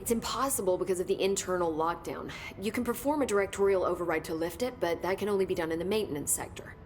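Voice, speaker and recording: A woman's voice: fairly low pitch, fast talker, darkish tone, a little hiss or hum, close to an everyday voice. A woman playing an earnest, eager agency scientist in a video game, explaining things with some hesitation. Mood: concerned, explanatory. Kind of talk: cinematic video game dialogue.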